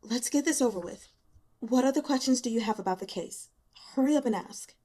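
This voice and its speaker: A woman reader puts on a resentful, worn-out young female student from an audiobook. The voice is high, with a pained, sarcastic edge and emphatic delivery. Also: brisk, warm tone, close to an everyday voice. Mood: icy, defensive.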